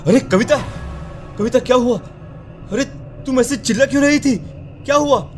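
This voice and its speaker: A man's voice: extremely high pitch, moderate pace, soft background music. A man voicing a reassuring, dismissive young newlywed husband in a horror cartoon. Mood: alarmed. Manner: reassuring, brushing worries aside. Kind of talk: Hindi horror cartoon dialogue.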